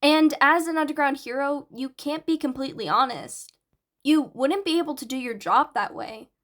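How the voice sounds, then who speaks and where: A woman's voice: high-pitched, moderate pace, fairly bright tone. A woman voicing an eager, analytical teenage boy in an audiobook, with an earnest, explanatory manner. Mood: earnest explaining. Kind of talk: audiobook character dialogue.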